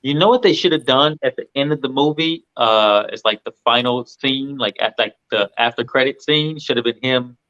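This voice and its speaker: A man's voice: medium pitch, normal speed, hardly any pauses, pushed and bright. A slightly dull, lo-fi recording of a man with a mid-range voice. He speaks as a film review panelist in a casual group chat, colloquial and a little hesitant.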